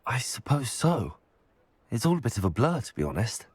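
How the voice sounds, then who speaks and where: A man's voice: medium pitch, sing-song, moderate pace, husky. A man playing a polite, understated young student wizard in a video game. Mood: modest. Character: polite, modest teenage student wizard hero. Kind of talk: video game character acting.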